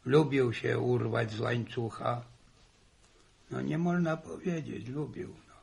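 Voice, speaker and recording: An old country grandfather in a vintage Polish radio drama. The voice is heavily creaky and slow, muttering and halting as he grumbles and repeats himself. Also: medium pitch, a little mellow. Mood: rueful. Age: older. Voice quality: gravelly old voice. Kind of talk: 1960s Polish radio serial acting.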